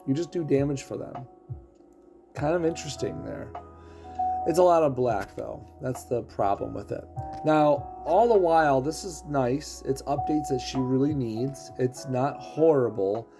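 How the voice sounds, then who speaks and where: A man casually explaining a game character's abilities, throat rough as he is losing his voice. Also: mid-range voice, lively intonation, measured pace, over music. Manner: explanatory, walks through ability text. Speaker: game streamer.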